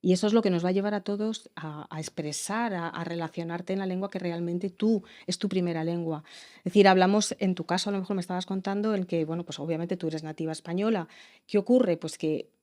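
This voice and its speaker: Woman, from Spain, low pitch, mellow tone, thoughtful, hesitant, interview guest. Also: fairly even intonation, quick pace. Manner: thoughtful, hesitant with fillers and restarts. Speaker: education expert guest. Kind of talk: explanatory interview talk.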